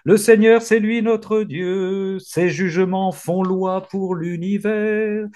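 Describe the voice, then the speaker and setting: A man's voice: sits high, fairly even intonation, takes it slowly. A man leading a prayer reading group, reciting psalms fervently and encouraging the others as he goes. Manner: fervent, warm encouraging responses.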